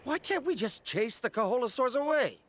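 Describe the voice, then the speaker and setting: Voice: medium pitch, lively intonation, a little fast, fairly bright tone, a little hiss or hum, close to an everyday voice. An actor voicing a skeptical companion who keeps questioning the plan bluntly. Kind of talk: game voice acting.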